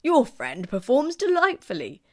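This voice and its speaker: A woman voicing a gallant, garrulous older gentleman in an audiobook, courtly and effusively flattering. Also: sits high, a little slow, voice pushed up, heavily acted. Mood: genial, chatty. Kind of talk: audiobook character acting.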